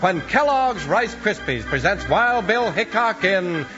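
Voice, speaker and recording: A man's voice: sits high, big pitch swings, measured pace, music in the background. A man announcing and narrating a 1950s radio western from a script. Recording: muffled old radio recording.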